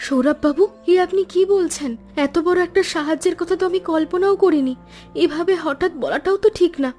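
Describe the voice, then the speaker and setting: A woman voicing an earnest, polite college-age granddaughter in an animated cartoon story. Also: very high voice, brisk, soft background music. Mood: astonished. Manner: polite, earnest, speaks in full careful sentences.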